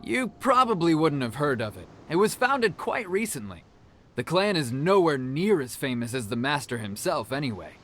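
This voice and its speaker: An actor playing a proud, earnest young martial arts disciple who explains things in a video game. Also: male voice, on the high side, sing-song, normal speed, a bit bright, soft background music.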